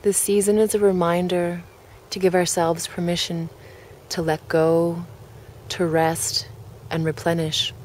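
A woman with a fairly low voice guides a relaxation meditation. She speaks gently, in short measured phrases with space between them. Clear background ambience and noise sit behind her voice. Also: little pitch movement.

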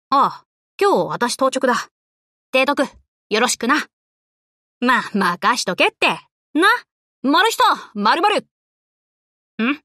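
An actress voicing a brash, cocky but loyal young tomboy warship girl in an anime game, with rough, boyish, casual speech. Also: high voice, pushed and bright, dry recording, studio quality, heavily acted. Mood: easygoing. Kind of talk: Japanese anime game character voice lines.

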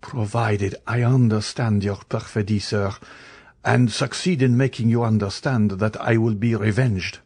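A man's voice: lowish voice, steady tone, a little slow, darkish tone, husky. A man reading the part of an angry young viscount who trembles with fury. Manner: furious, tense, accusing.

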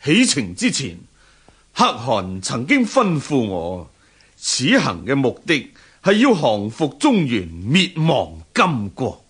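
An actor playing a commanding Mongol general in a Cantonese radio drama, with a Hong Kong accent and a declamatory, imperious manner. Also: male voice, mid-range voice, wildly swooping pitch, takes it slowly, fairly bright tone, breathy edge, full character voice. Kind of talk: historical radio drama acting.

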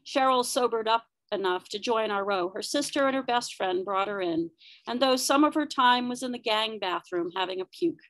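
A woman's voice: fairly high pitch, a bit bright, dry recording, poor audio. A woman reading her narrative poetry aloud at an online open mic.

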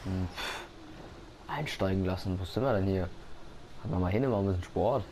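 A man's voice: sits low, fairly quick. A man giving rambling, joking commentary while playing a driving game. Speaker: gaming YouTuber. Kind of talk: casual let's-play commentary. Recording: noisy gameplay recording.

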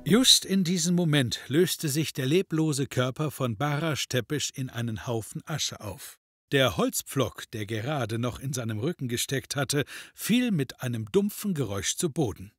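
Husky male audio drama narrator. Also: mid-range voice, expressive, a little slow, fairly bright tone, no room sound, clean studio sound. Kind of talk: dramatic scripted narration with dry comedy.

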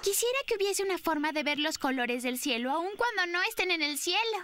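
High-voiced, curious girl dinosaur. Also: animated, fairly quick, noisy background. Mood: puzzled, wishful. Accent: Latin American. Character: friendly, curious young pink girl dinosaur. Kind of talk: Spanish cartoon dub acting.

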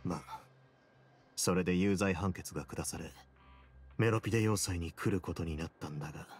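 A man playing a cool, brooding young fortress warden in a game, speaking in a measured, grave, self-possessed way. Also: low-pitched, lightly acted. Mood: resolute, somber. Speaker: game character. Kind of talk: dramatic game dialogue.

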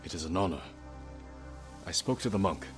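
An honourable samurai warrior in a video game, with a low voice. He is earnest and solemn, choosing formal, measured words over clearly audible background music. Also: expressive. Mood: measured, concerned. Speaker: game character. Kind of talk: dramatic video game acting.

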